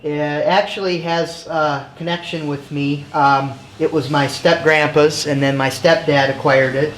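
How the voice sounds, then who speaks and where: A man with a somewhat high voice answers casually as an interview guest. He is hesitant and often repeats a word before going on. The recording is echoey, with clear noise around him. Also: fairly even intonation, a little slow. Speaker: interview guest, car collector. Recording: echoey, clearly noisy location sound.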